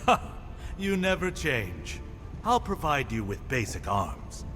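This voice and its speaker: Genial godsmith ally: leisurely, animated intonation, gently teasing, video game fantasy drama. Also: male voice, mid-range voice. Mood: wry, encouraging. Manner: expressive, unhurried, gently chiding. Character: warm, good-humoured fantasy godsmith, loyal old friend. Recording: background music.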